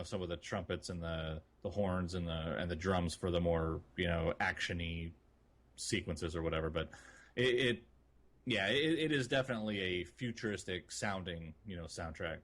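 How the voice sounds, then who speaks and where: A man co-hosting a gaming podcast, chatting in a loose, informal and halting way. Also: sits low, fairly bright tone. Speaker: podcast co-host. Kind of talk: casual gaming podcast chat.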